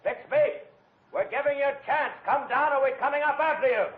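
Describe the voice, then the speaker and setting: An actor playing an urgent, commanding police officer calling out wary orders in a 1940s radio crime drama. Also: male voice, very high-pitched, a little fast. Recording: muffled old radio recording.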